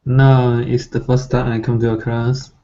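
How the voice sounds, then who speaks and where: A man's voice: mid-range voice, level tone, measured pace, dark tone. A male student with a Chinese accent from southern China, answering a teacher briefly and hesitantly over a video call. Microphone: computer mic on a video call. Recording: dull lo-fi call audio with faint noise.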